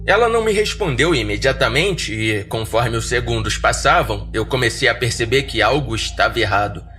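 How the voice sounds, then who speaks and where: Casting: Brazilian male narrator for horror podcast, mid register, scripted dramatic storytelling with clear music bed. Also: medium pitch, expressive, normal speed.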